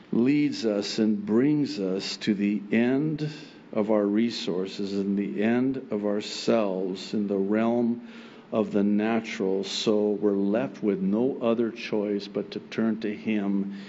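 A middle-aged man whose first language is Arabic, a pastor preaching a sermon from a pulpit microphone on a church stage, animated and chuckling often as he acts out God's replies. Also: fairly low pitch, highs cut off, slight background noise. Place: church stage with wood pulpit and plants, indoors.

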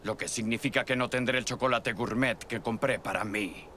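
A man's voice: medium pitch, moderate pace, a little hiss or hum, lightly acted. An actor playing a self-pitying male news reporter with an overdramatic announcer delivery in a cartoon dub. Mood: whiny reporting. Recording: loud music behind the voice.

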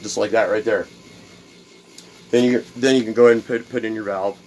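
A man's voice: on the low side, fairly even intonation, brisk, darkish tone, a little hiss or hum, low-quality recording. A man in a cluttered home workshop, explaining a build step by step in a casual, rambling way, with plenty of fillers and repeated words. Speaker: DIY video host. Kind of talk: casual workshop how-to demonstration.

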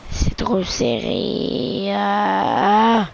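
A young woman with a sore throat, casually commentating a car-soccer video game match in an excitable, joking, chatty way. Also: mid-range voice, very slow, gravelly. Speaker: esports commentator.

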